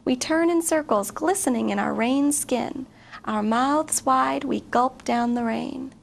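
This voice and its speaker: A woman's voice: high voice, unhurried, vocal fry. A woman reading a picture book aloud expressively to children. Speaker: guest story reader. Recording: faint background noise.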